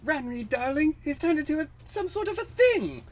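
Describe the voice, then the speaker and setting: A man's voice: very high voice, sing-song, moderate pace, soft background music, voice pushed up. A man voicing an anxious, devoted young woman in a melodramatic comic-book reading. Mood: frightened.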